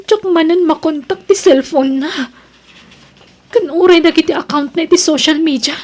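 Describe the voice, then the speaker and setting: A woman's voice: high-pitched, normal speed, darkish tone, close to an everyday voice. A woman with an Ilocano Filipino accent playing an earnest young female student torn between lovers in an audio drama. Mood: hurt, bitter.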